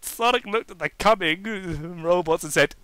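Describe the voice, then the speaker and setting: A man giving a theatrical, halting amateur dramatic reading of comic fan fiction. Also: high voice, sharp tone.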